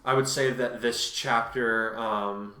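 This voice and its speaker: A man chats informally as he responds to reviews. His voice is bright and sits at an average pitch. The room around him is noticeably echoey. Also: steady tone, slow pace. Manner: chatty, informal. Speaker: fan fiction author and co-host. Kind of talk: casual chat answering reviews.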